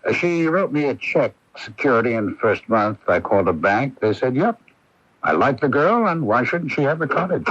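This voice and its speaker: Genial, wry local newspaperman, lively inflection, slightly rough voice; radio drama. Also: medium pitch, moderate pace, no echo. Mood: matter-of-fact. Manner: folksy, wry, breezy. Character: genial, wry small-town newspaperman, chatty and teasing. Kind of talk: 1980s radio drama acting. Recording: dull, lo-fi 1980s radio broadcast.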